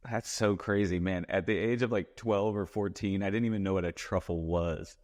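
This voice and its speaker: A man's voice: low voice, steady tone, moderate pace, a little mellow. A man hosting a podcast, asking curious questions in casual chat and laughing easily. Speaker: podcast host.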